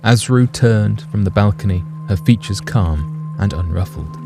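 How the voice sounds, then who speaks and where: A man's voice: on the low side, unhurried, vocal fry, music in the background. A man narrating a scripted story in a literary, dramatic manner.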